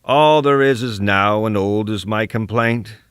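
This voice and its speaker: A man's voice: lowish voice, measured pace, clear, resonant tone, no echo, studio quality, close to an everyday voice. A man playing an embittered, defiant old poet locked away, delivered as a combative, declamatory dramatic soliloquy. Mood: bitter, proclaiming. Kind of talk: dramatic first-person soliloquy.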